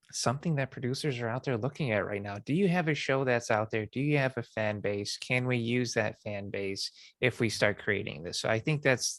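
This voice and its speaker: A man's voice: medium pitch, no room sound. A man hosting a casual podcast interview, asking questions in an affirming, encouraging way.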